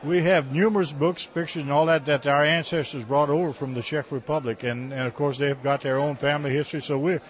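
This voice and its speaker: A man's voice: fairly high pitch, fairly even intonation. Guy answering interview questions, folksy, keeps restarting and repeating himself. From Texas, USA. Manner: halting, repeats words, plain folksy talk. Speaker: cultural center director.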